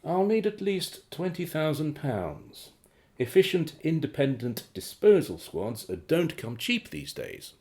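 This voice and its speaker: An actor in a radio play voicing a deferential but scheming fixer with criminal contacts. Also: male voice, fairly high pitch, very animated, a little slow, a little mellow. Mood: sly scheming.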